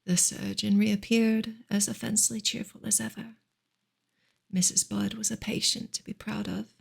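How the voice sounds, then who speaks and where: A woman reading a novel aloud as ASMR in a soft, breathy whisper, with slow, gentle, measured delivery. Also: medium pitch, flat delivery. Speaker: ASMR reader of a classic novel.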